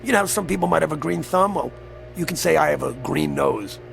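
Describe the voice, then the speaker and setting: A creaky old devil in newspaperman's guise for a radio play. The voice is rather high and swoops with theatrical relish. He is courtly, teasing and very pleased with himself, with music behind him. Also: expressive, a little fast, a bit bright, heavily acted. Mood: boastful. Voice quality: creaky, gravelly. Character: sly, boastful devil posing as a genial old newspaperman. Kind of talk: radio drama acting.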